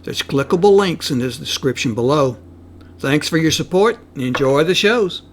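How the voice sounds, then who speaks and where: A man's voice: fairly high pitch, expressive, moderate pace, slight background noise. A man giving a short, casual thank-you intro to listeners. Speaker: channel host.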